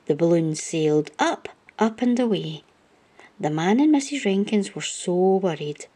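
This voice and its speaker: A woman reading a children's storybook aloud as an expressive narrator. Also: fairly low pitch, measured pace.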